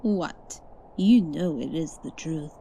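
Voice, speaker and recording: A woman's voice: sits low, animated, slow pace, vocal fry, warm tone, a little hiss or hum, full character voice, rough recording. A woman voicing a blunt, disdainful elven sister in an ASMR fantasy roleplay. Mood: scornful. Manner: blunt and disdainful, drops into whispers.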